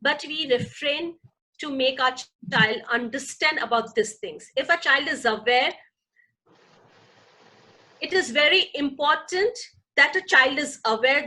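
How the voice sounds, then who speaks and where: A woman's voice: sits high, bright, forceful tone, low-quality recording. A middle-aged woman with an Indian English accent, a native Gujarati speaker, answering a parenting question earnestly and with repeated emphasis. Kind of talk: answering audience questions in an online session.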